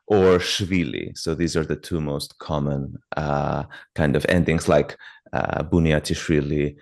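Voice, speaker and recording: A man in his thirties, raised in Hungary with Georgian as his first language, speaking as a guest pianist in a calm, measured, polite way with frequent fillers. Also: very deep voice, gravelly. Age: 30s. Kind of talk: interview conversation.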